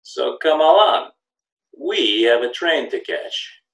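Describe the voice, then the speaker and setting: A man's voice: fairly high pitch, animated, slow, fairly bright tone, exaggerated voice. An older actor playing a mock-polite, wordy, menacing gangster's henchman in a radio play. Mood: sardonic. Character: smooth-talking, sardonic, menacing gangster's henchman. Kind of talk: old-time radio play acting. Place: small home room, video call. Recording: roomy home video call.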